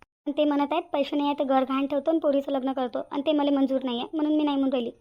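A woman's voice: high-pitched, level tone, racing, dull audio, close to an everyday voice. A woman with a Varhadi Marathi accent voicing a selfless, earnest young bride-to-be in a cartoon family drama. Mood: worried. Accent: Varhadi Marathi from Vidarbha. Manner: earnest, repeats phrases emphatically. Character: anxious, selfless young bride-to-be, tenderly devoted.

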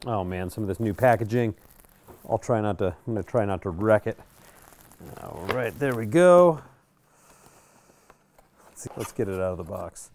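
A man's voice: on the low side, animated, normal speed, long pauses, a little mellow, slight background noise. Laid-back middle-aged American man. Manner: laid-back, conversational, thinking aloud. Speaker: product reviewer and presenter. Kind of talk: casual unboxing commentary. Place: indoor radio workshop.

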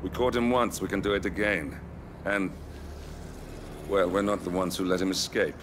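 An actor playing a wry, rugged rebel comrade in a video game, with a dry conversational manner. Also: male voice, sits low, normal speed, background noise, lightly acted. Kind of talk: video game cutscene acting.

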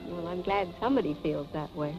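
A woman's voice: lowish voice, a little fast, lightly acted. An actress in her thirties playing a wry, wary society wife with a dry, poised manner in a 1940s Hollywood film. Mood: dry, weary. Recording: muffled vintage film soundtrack with music.

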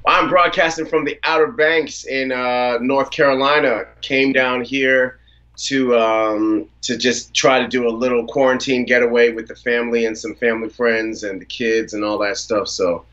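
A man in his thirties talks over a video call, relaxed and chatty, easily slipping into laughter as he tells his stories. His voice sits in a middle range, and the call audio sounds a little dull and lo-fi. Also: mid-range voice. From United States, Washington DC area. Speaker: guest rapper. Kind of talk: casual interview on a video call. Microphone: video call from a phone or laptop.

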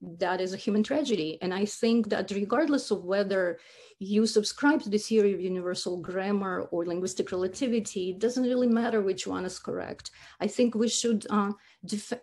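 Earnest woman, halting. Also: mid-range voice, a little mellow. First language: Russian. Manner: earnest, halting, with many restarts. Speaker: linguistics speaker. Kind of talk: academic talk over slides.

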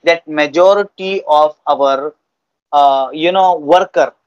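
A man's voice: fairly high pitch, slow, clear, resonant tone, dry recording, highs cut off. A young Indian-accented man, an English trainer in conversational speaking practice, who repeats phrases for emphasis on a video call. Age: young adult.

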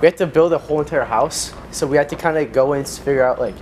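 A man's voice: fairly high pitch, fast, a little mellow, slight background noise. A young man filming a casual prank vlog on a handheld phone, joking and rambling with restarts. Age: young adult. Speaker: vlogger. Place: furniture store, then gym.

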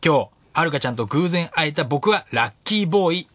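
Man acting a gentle, slightly high-pitched romantic senior. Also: lively intonation, brisk, no room sound. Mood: tender. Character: friendly, romantic young male senior schoolmate or colleague. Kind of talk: comic romantic radio drama.

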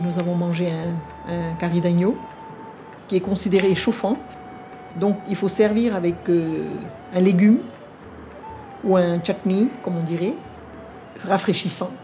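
An older woman whose first language is Vietnamese, speaking in a sit-down interview in a living room, measured and thoughtful with frequent pauses. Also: fairly low pitch, fairly even intonation, over music. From India. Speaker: cookbook author.

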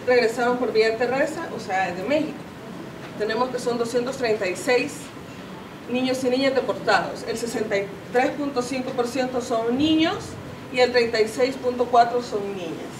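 A woman in her thirties with a Salvadoran Spanish accent presents data at a seminar, pausing on fillers and correcting herself as she goes. Her voice sits fairly high. The sound comes from a camera far across an echoing conference room, with steady background noise. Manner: explanatory, self-correcting, many fillers. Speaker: conference speaker. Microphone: far camera, no mic seen. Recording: roomy, distant camera audio with background noise.

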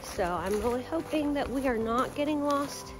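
A woman's voice: high voice, expressive, moderate pace, over music. A woman in her forties co-hosting a casual hiking vlog, wry and mildly worried, speaking outdoors in winter woods into a phone. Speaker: vlog co-host. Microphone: handheld phone camera.

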